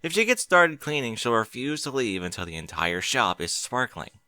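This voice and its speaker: A man's voice: mid-range voice, sing-song, moderate pace, bright, forceful tone, no room sound. A man voicing a young male dragon in an audiobook, chatty and colloquial, a little unsure of himself. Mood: casual, teasing. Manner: colloquial, hesitant, questioning. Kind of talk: audiobook character dialogue.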